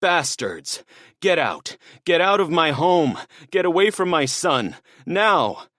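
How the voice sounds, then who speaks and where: A man voicing an enraged father who shouts intruders out of his home. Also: a little high, very animated, slow, no room sound, clean studio sound, pitched above the performer's own voice, heavily acted. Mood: raging. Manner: shouting, furious, choked.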